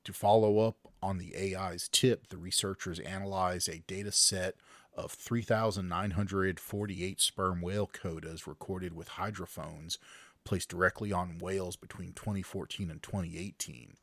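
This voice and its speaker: A man's voice: low-pitched, fairly even intonation, no room sound. A man co-hosting a podcast, reading a news story aloud in a casual, jokey way, often stumbling and correcting himself. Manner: reads quotes haltingly, corrects himself, jokey. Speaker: podcast co-host.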